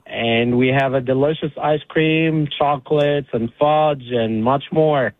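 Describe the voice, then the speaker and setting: A man from Egypt who owns an ice cream shop, speaking politely with many pauses over the phone as a caller. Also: medium pitch, no echo. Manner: polite, hesitant with fillers. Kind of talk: phone interview. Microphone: phone line. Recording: tinny phone line.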